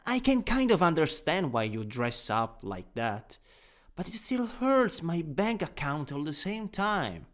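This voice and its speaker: Slow, coaxing Italian-accented man acting a male teacher, reverberant. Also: fairly high pitch. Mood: coaxing, tender. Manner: coaxing, doting, instructive, unhurried. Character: gently dominant male anatomy teacher, doting on a catgirl-like pet companion. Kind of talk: ASMR roleplay, scripted. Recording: long reverberant hall echo.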